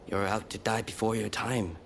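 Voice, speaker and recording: A man's voice: lowish voice, steady tone, normal speed, creaky, slight background noise. A man playing an easygoing, confident samurai ally in a video game cutscene, wry and self-assured. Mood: wry camaraderie.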